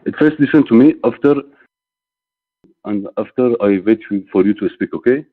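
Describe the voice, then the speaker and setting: A man calling into a live debate, speaking haltingly with many fillers and asking not to be interrupted. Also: mid-range voice. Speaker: caller. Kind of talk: phone call into a live debate. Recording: tinny phone line.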